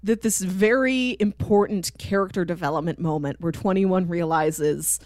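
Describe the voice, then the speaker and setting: A woman co-hosting a podcast in casual chat, telling stories in an animated way and acting out quoted dialogue. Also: lowish voice, moderate pace, no echo, studio quality. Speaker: podcast co-host. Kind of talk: casual chat about a TV cartoon.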